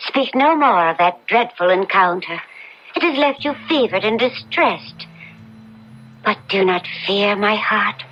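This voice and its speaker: Female actor as soothing young noblewoman in love; tender melodrama, unhurried, dull old radio recording with faint noise. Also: medium pitch, expressive, lightly acted. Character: devoted, soothing young Italian noblewoman, a fiancée. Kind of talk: 1970s radio drama acting.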